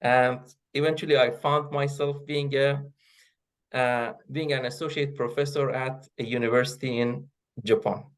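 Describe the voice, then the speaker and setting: A middle-aged man whose first language is Persian, answering interview questions as a guest professor, pausing often with fillers and restarts. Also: medium pitch, steady tone. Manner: hesitant, many fillers and restarts. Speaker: guest, associate professor of linguistics.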